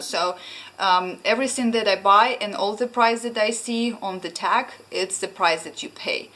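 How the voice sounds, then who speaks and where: A woman's voice: medium pitch, fairly bright tone, rough recording. A young woman from Ukraine with a Russian accent, chatting casually to camera at home, hesitant with many ums and restarts. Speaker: vlogger. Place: small room at home, indoors.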